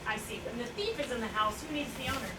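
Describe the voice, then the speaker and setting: Woman playing a wry high-voiced questioner, echoey hall. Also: quick pace, natural voice. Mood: ironic, probing. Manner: ironic, pointed, needling. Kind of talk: staged play reading. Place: indoor staged reading, distant microphone. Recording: echoey, noisy room recorded from far off.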